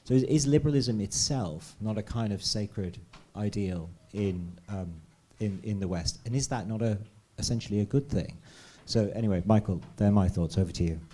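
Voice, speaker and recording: A man moderating a conference panel, asking his question in a thoughtful, questioning way. His voice sits low and sounds mellow and relaxed. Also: normal speed.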